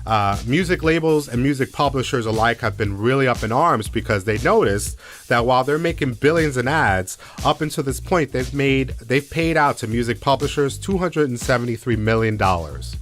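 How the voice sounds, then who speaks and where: A man's voice: mid-range voice, animated, normal speed, fairly bright tone, soft background music. A man co-hosting a radio show, explaining news step by step and putting rhetorical questions to the listeners. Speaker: radio co-host. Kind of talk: music business news commentary on a radio show.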